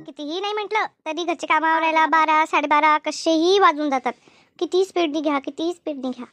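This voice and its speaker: A woman voicing a nosy, helpful village housewife in a cartoon comedy, brisk, repetitive and gently scolding. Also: sits high, a little hiss or hum. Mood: busy, agreeable. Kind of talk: animated cartoon comedy dialogue.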